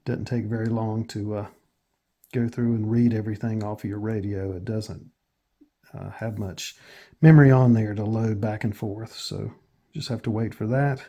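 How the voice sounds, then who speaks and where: An American man hosting a casual step-by-step tutorial in a plain, matter-of-fact way with hesitant pauses. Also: on the low side, fairly even intonation, dark tone. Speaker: tutorial host.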